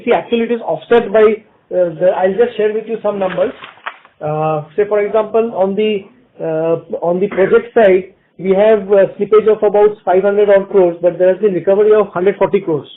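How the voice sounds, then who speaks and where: A man with an Indian accent answering questions on an earnings call as a company executive, explaining in a halting way. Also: high-pitched, slight background noise. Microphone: phone line. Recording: tinny narrowband phone conference line.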